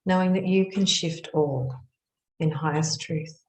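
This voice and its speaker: A woman guiding a meditation in measured, hushed phrases with long pauses between them. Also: low voice. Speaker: meditation guide. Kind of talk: guided meditation narration. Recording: roomy, echoing sound.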